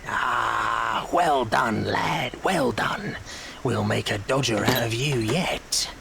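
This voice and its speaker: Gravelly, wily old thief-master. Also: male voice, mid-range voice, over a noisy crowd. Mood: coaxing. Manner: coaxing, drawn-out, faintly menacing. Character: wily old male leader of child pickpockets. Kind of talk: audio drama acting.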